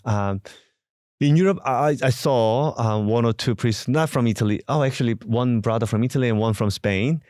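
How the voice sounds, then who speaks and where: A man from Korea with a Korean accent, a parish priest speaking in an interview, halting and searching for words with many fillers. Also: medium pitch, darkish tone, no room sound, studio quality.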